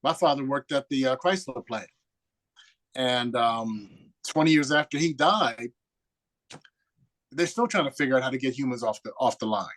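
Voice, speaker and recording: A man speaks at a middle pitch in a relaxed group discussion. He sums up points for the others in a steady way. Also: moderate pace, long pauses.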